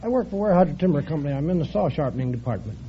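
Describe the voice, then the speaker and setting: A middle-aged American man speaks as a guest on an old radio quiz. His voice sits a bit high and rises and falls in an animated way, plain and earnest. The recording is muffled, with faint hiss. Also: racing. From Washington State, United States. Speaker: quiz show contestant, timber mill worker. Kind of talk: unscripted guest interview on an old radio show.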